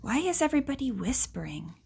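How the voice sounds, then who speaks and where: A woman reads a children's picture book aloud in a gentle, explanatory way, asking questions and adding asides for young listeners. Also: sits high, big pitch swings, a little fast, gravelly, a little hiss or hum.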